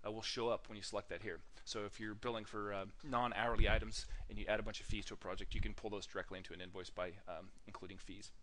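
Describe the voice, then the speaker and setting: Low-pitched man, casual software walkthrough. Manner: informal, halting delivery with frequent ums and uhs. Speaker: software product demonstrator. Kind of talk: casual software tutorial narration.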